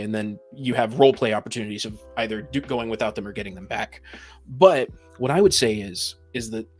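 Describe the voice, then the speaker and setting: A man in a casual podcast debate, laying out his opinions in a deliberate way. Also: mid-range voice. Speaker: podcast speaker on tabletop gaming.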